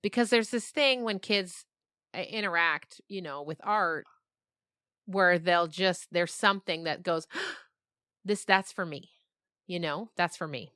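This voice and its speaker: A woman's voice: fairly low pitch, slow pace, bright, forceful tone, no echo, clean studio sound. A woman speaking as an interview guest, a storyteller and podcast creator talking reflectively and expressively in conversation.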